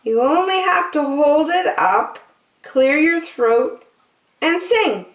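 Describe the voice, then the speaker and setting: A woman's voice: sits high, lively intonation, takes it slowly, roomy sound, voice pushed up. A woman voicing a kind, encouraging female sheep with a sing-song manner for a picture book. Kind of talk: picture book read-aloud.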